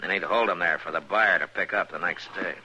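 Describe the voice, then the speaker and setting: A man playing a stern, steady frontier marshal in a western radio drama, speaking in a firm, terse, authoritative way. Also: deep voice, a little fast. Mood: firm, accusing. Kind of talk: old-time radio western drama acting. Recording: dull, lightly noisy old radio recording.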